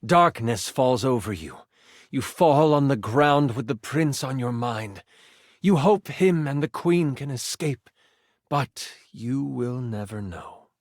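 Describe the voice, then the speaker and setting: Higher-voiced man narrating dramatic fantasy. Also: fairly bright tone, dry recording, studio quality. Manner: theatrical, suspenseful storytelling. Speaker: game master narrator.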